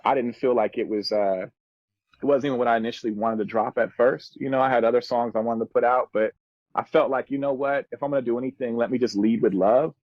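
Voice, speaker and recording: A man's voice: mid-range voice, fairly even intonation, fast, no echo, highs cut off. A man in his thirties telling stories casually as a musician guest on a podcast, in laid-back, slangy, earnest and reflective speech. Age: 30s.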